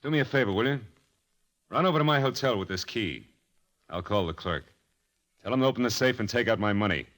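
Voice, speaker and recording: A high-rolling gambler in an old radio drama. His low voice stays terse and unruffled, cool and resolute in every short reply. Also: lively intonation, fairly quick, long pauses, fairly bright tone. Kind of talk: 1940s-50s radio drama acting.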